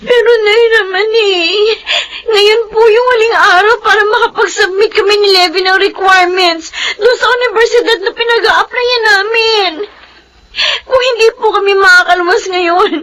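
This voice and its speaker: Casting: emotional young Filipino daughter in radio drama, extremely high pitch, sincere. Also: female voice, fairly even intonation, moderate pace, pushed and bright, rough recording. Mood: tearful pleading. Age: young adult. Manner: earnest, emotional. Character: earnest young daughter longing to study.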